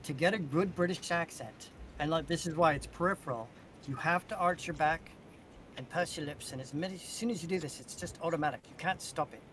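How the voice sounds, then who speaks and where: A man's voice: fairly high pitch, brisk, a bit bright, a little hiss or hum. An American man chatting casually in a group conversation. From United States.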